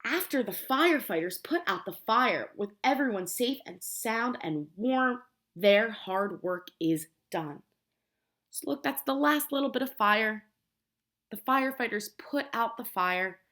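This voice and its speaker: A woman teacher reading a picture book aloud to young children, explaining simply and prompting them with questions in an expressive way. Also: a little high, unhurried. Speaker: preschool teacher.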